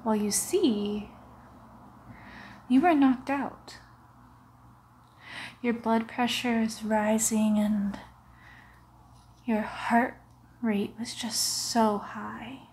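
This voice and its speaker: A young woman playing a sinister, coaxing vampire who poses as a doctor, in a hushed, often whispered, muffled ASMR roleplay. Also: fairly high pitch, fairly even intonation, slight background noise. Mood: falsely concerned. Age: young adult. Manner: slow, hushed, often whispering, soothing, deliberate. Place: living room, lying on a sofa.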